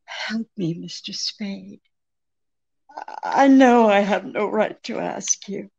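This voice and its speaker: A woman plays a mysterious damsel-in-distress client in a vintage radio detective drama. She speaks extremely slowly at a medium pitch, halting between phrases, with a pleading throb that sounds helpless and secretive. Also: creaky, darkish tone, breathy edge, highs cut off, full character voice. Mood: desperate pleading. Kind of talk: old-time radio detective drama re-creation.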